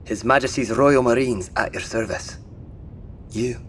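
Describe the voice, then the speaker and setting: An actor playing a gruff, wary British Royal Marines sergeant in an audio drama, slangy and commanding. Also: male voice, mid-range voice, unhurried, slight background noise, lightly acted. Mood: wary, curious.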